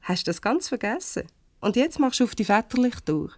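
An actress playing a defiant, quick-tongued young employee in a radio play, sharp and sarcastic, with a Swiss German accent. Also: female voice, a little high, big pitch swings, no echo. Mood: indignant.

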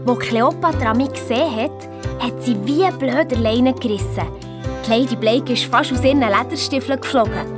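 A woman's voice: on the high side, normal speed, music in the background, natural voice. A woman playing a confident, eager young hotel owners' daughter in a children's audio drama, telling her story with a Swiss German accent.

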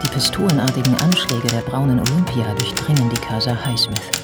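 A man narrating a literary radio portrait in a sober, measured, deliberate way, as scripted biographical storytelling. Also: mid-range voice, loud music behind the voice, natural voice.

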